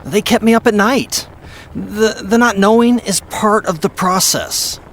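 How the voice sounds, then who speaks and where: A man's voice: high voice, a little slow, fairly bright tone, slight background noise. An actor playing a talkative, upbeat middle-aged father who is a lawyer, in an audio drama. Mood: encouraging. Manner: chatty, upbeat, soothing.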